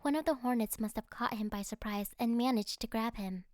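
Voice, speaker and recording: Highish-voiced woman narrating an audiobook. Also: a little fast, a little mellow. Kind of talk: first-person scripted story narration.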